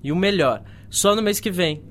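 A man's voice: sits high, lively intonation, normal speed. An actor with a Brazilian accent playing a casual, teasing teenage boy in a radio soap. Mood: upbeat. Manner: slangy, teasing. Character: Marquinho, casual, teasing teenage boy, girl's close friend.

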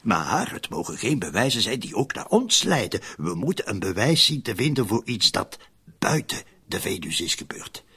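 An actor playing a scheming, urgent undertaker in a radio drama, with a raspy, gravelly voice. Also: male voice, on the low side, very animated, moderate pace, pushed and bright, full character voice. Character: scheming, urgent male undertaker plotting a frame-up.

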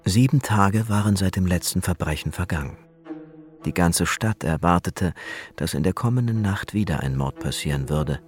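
A man narrating a scripted radio drama in a measured, suspenseful storytelling manner. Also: sits low, animated, soft background music.

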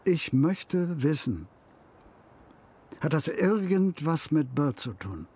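An actor playing a troubled, grieving middle-aged father in a radio crime drama, halting and insistent as he searches for words. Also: fairly high pitch, big pitch swings, mellow, breathy edge, full character voice.

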